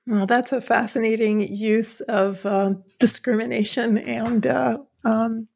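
A woman's voice: fairly high pitch, fairly even intonation, takes it slowly, gravelly, a little mellow. Enthusiastic woman interview host. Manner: enthusiastic, praising. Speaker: podcast host. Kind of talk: interview questions.

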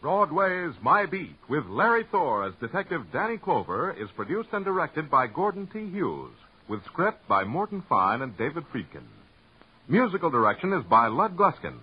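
A man's voice: on the high side, very animated, moderate pace, husky. A man reading closing credits and a promo as a formal radio announcer. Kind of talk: scripted announcement. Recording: dull vintage radio broadcast with music.

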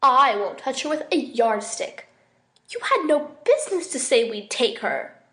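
A fretful young woman from a storybook, put on by a woman reader, pitched very high. She is peevish and querulous, and her voice swoops up and down as she objects. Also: big pitch swings, a little slow, a bit bright, full character voice. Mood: indignant. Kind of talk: audiobook character dialogue.

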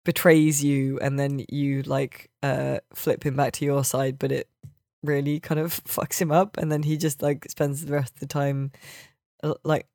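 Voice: mid-range voice, level tone, unhurried, darkish tone, dry recording, clean studio sound. A podcast host chatting casually about video games, sweary, wry and joking.

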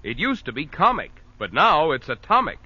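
A man's voice: a little high, big pitch swings, moderate pace, pushed and bright, husky, no echo. A man announcing a 1940s radio advertisement in polished salesman patter. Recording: muffled old radio broadcast.

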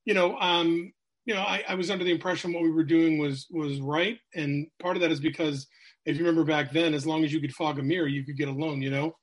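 A man's voice: on the high side, fairly even intonation, fast, poor audio. A middle-aged man, a sales coach telling his life story as a podcast guest, candid and rambling with many fillers. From New Hampshire, USA.

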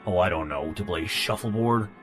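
A very deep, exasperated man, played by an actor in a comic audio drama. He grumbles with incredulous sarcasm. Music plays behind him. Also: moderate pace, close to an everyday voice. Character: exasperated, sarcastic male holidaymaker.

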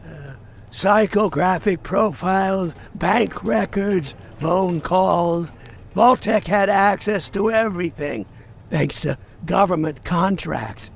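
Ancient, thick-skinned salesman; high gravelly voice, weary and guarded, audio drama. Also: takes it slowly, heavily acted. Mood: defensive. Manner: weary, defensive. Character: jaded, centuries-old salesman, thick-skinned and guilty.

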